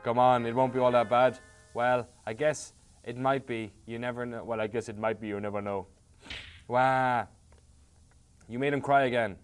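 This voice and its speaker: A man's voice: mid-range voice, fairly even intonation, normal speed, clean tone, faint music behind. An Irish man reading a game's dialogue aloud, with sarcastic, swearing asides between the lines. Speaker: host playing a game.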